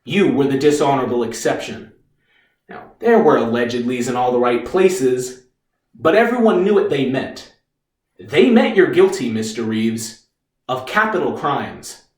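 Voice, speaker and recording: Man acting a cutting, deliberate lawyer, echoey room. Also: medium pitch, expressive, darkish tone, voice pushed down, natural voice. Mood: cold, probing. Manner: deliberate, probing, condescending. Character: cool, cutting army defense lawyer. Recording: echoey room, distant microphone.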